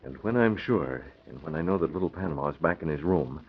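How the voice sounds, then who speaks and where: An actor playing a confident masked vigilante in a 1940s radio adventure, firm and decisive. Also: male voice, extremely low pitch, lively intonation, fairly quick. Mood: calm, planning. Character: confident masked vigilante posing as lone-wolf racketeer. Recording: muffled, hissy old radio recording.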